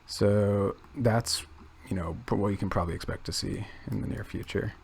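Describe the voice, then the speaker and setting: Quiet gravelly-voiced man rambling. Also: lowish voice, slight background noise. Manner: quiet, halting, thinking aloud with many restarts. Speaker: channel host. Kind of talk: casual rambling commentary.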